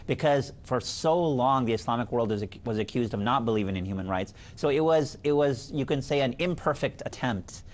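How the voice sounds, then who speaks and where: A man's voice: mid-range voice, lively intonation, brisk, hardly any pauses. Male legal expert on a panel, mid-range voice, formal and articulate explainer. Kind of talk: explanatory discussion.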